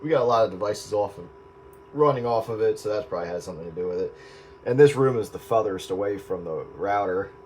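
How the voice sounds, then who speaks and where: A man's voice: lowish voice, expressive, fast talker, darkish tone. A man chatting casually over the radio, hesitant and wry, often searching for words. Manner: hesitant, self-deprecating, wry. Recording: radio audio with clear static.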